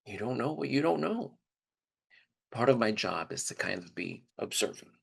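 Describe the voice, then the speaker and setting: Husky-voiced man telling a personal story. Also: medium pitch, sing-song, moderate pace. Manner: informal, reflective, acts out quoted dialogue. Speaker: performer of a dramatized first-person narrative. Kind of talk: conversational monologue storytelling, performed live.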